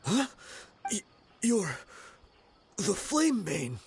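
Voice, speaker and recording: A man playing a defiant, scornful hardened mercenary in a video game, with a rough, husky voice. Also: sits high, wildly swooping pitch, extremely slow, slight background noise, exaggerated voice. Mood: startled, uneasy.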